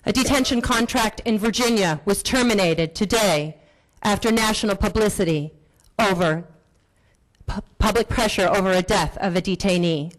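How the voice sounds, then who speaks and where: A woman speaking at a county board meeting, delivering an impassioned public comment in forceful, accusatory, repetitive rhetoric. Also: mid-range voice, moderate pace, low-quality recording.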